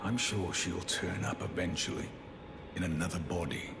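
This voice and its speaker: A man playing a grave, honourable warrior in a fantasy game, speaking in a deliberate, courtly way. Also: low voice. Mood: brooding, uneasy. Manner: deliberate, courtly, drops into breathy near-whispers. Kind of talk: dramatic fantasy game acting. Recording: voice under loud background music.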